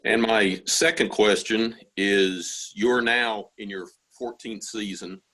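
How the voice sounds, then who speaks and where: A man's voice: on the low side, fairly even intonation, slow, pushed and bright, poor audio. A man hosting a video call interview, asking long, rambling questions with hesitations. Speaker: associate athletic director hosting the series.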